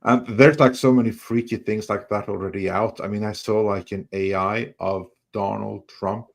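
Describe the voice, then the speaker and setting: A man's voice: fairly low pitch, steady tone, normal speed, vocal fry. A man who speaks natively, chatting bluntly and casually on a podcast panel. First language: Norwegian.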